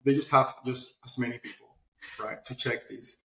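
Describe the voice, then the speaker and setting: A man's voice: mid-range voice, steady tone, moderate pace, gravelly, bright, forceful tone, slight background noise. Man in an echoey room, chatting casually. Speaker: interview guest, publishing platform founder. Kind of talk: casual conversational interview answers. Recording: roomy, echoey sound.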